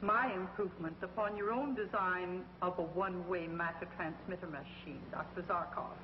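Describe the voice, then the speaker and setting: An actress playing a cold, scheming witch villain in a 1950s TV drama, speaking with commanding, precise diction over loud music. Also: lowish voice, steady tone, normal speed, muffled recording, background noise, lightly acted. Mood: silkily menacing.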